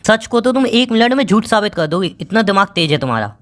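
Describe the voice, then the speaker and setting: A man's voice: high-pitched, very animated, fast, a bit bright. A man plays a bitter young boyfriend in a romantic phone-call drama. He talks in casual, colloquial words, with an accusing, sarcastic and distrustful manner.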